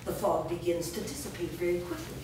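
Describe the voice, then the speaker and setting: A woman's voice: lowish voice, fairly even intonation, fairly quick. Female narrator for a staged play reading, reading directions, heard far off in a noisy, echoing room. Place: large bare indoor room, staged reading before a small audience. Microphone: distant camera.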